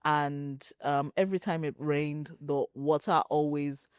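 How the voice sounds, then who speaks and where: A woman from Nigeria, a startup co-founder answering interview questions in a measured, reflective way, pausing often with fillers. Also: sits low, fairly even intonation, a little mellow, clear, resonant tone, no echo, clean studio sound. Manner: measured, thoughtful, pauses with fillers.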